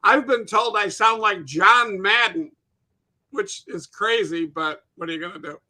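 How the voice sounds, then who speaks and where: A man with a Chicago accent who chats quickly and jokingly in a casual conversation. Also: high voice, pushed and bright. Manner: quick, chatty and jokey.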